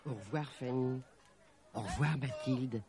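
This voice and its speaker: A man's voice: sits high, animated, normal speed, a crowd in the background. A man voicing a sweet, eager young peasant girl with an affectionate manner in a storybook. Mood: tender.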